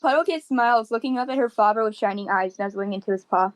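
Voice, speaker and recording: A woman's voice: a little high, fast. A woman narrating her character's part in an animal roleplay in the third person.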